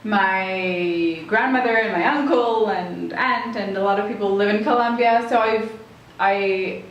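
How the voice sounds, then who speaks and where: A woman's voice: medium pitch, measured pace, far from the mic, lo-fi sound. A woman from Switzerland, chatting casually as an interview guest and rambling as she searches for words. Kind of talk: casual chat. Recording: very echoey room with faint noise.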